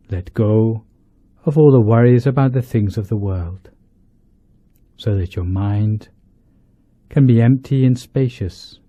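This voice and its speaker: A man, a monk leading a guided meditation, speaking in deliberate short phrases with pauses between them. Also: fairly low pitch, mellow. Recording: dull lo-fi, roomy echo.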